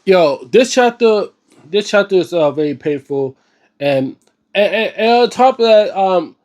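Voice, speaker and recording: A man giving unscripted casual video commentary in a halting, repetitive way, searching for words, earnest and slangy. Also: sits high, lively intonation, a little mellow. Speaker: host of a book reading and discussion series.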